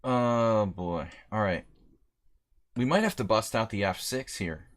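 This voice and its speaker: A man's voice: fairly low pitch, a little slow, a little hiss or hum. A man streaming a chess simul, chatty and self-deprecating, thinking aloud over his moves. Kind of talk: live-stream commentary.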